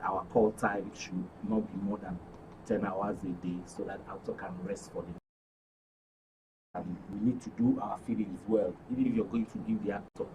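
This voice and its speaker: A man's voice: lowish voice, fairly even intonation, moderate pace. A man with a Nigerian accent speaking earnestly as a guild official in an interview, recorded on a noisy, distant microphone. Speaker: actors' guild official, studio guest.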